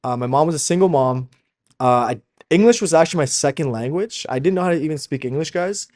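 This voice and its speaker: A man's voice: on the high side, fast talker, a little mellow. A man, a Slovak speaker, coaching informally and emphatically in a motivational sales video, often checking in with listeners. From Slovakia, moved to Canada as a young child. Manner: emphatic, addresses listeners as guys, constant tag questions. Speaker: fashion brand founder and coach.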